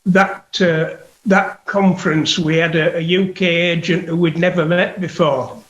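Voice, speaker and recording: A British man, a guest investigator, reminiscing in a halting, easygoing way during a casual interview. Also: a little high, flat delivery, a bit bright. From United Kingdom. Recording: echoey room, dull lo-fi sound.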